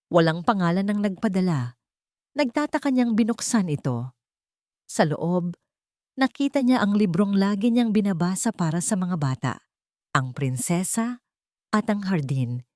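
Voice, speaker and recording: A woman reads a dramatic story as an audiobook narrator. Her pitch is on the low side and the recording is very dry. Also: animated, normal speed, long pauses, darkish tone, dry recording.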